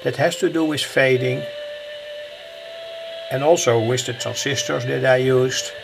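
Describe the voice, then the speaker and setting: A man giving an unscripted hands-on demonstration as a hobbyist, speaking haltingly in short phrases. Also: medium pitch, creaky, music in the background, low-quality recording. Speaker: hobbyist radio builder presenting. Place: indoor home workbench. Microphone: handheld camera's built-in microphone. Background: shortwave radio playing.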